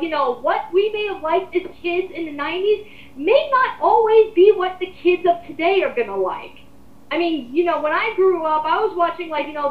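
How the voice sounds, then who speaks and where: Woman, twenties. High-pitched, emphatic, casual opinion host. Dull, reverberant recording. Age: 20s. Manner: rambling, blunt, emphatic. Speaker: host leading a group discussion. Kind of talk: casual opinionated commentary chat.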